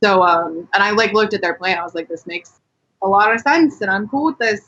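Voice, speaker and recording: A woman's voice: medium pitch, fairly quick, a bit bright, husky, muffled recording. A woman from Colorado chatting casually and candidly as a podcast guest, peppering her speech with "like.". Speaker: podcast guest, adult performer. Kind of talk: casual chat.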